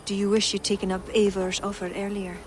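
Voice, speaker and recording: A woman's voice: medium pitch, flat delivery, darkish tone, a little hiss or hum, lightly acted, rough recording. A woman playing a wry adviser. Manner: wry, brisk, informative.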